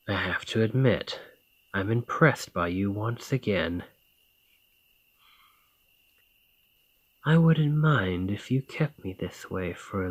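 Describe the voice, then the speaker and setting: A man's voice: low voice, dry recording. A man playing a dominant, teasing fantasy thane in an ASMR roleplay, commanding and flirtatious. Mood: amused, impressed. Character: dominant Nordic fantasy thane, teasing, confident warrior lord. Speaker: roleplay audio performer. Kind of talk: ASMR fantasy roleplay acting, addressing the listener.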